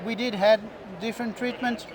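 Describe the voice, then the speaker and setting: Brazilian-accented man, slightly high voice. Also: fairly even intonation, crowd noise. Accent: Brazilian Portuguese. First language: Portuguese. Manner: measured, explanatory, with small restarts. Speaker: diving medicine physician guest. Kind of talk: interview answers. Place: busy expo booth floor.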